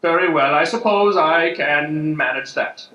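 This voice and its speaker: A man's voice: fairly high pitch, unhurried, muffled recording. A man playing a woman's own body as a sarcastic, scolding disembodied voice in an improv comedy scene. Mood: mocking. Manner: stern, mocking, taunting. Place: live improv stage with audience. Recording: echoey stage, distant mic, loud noise.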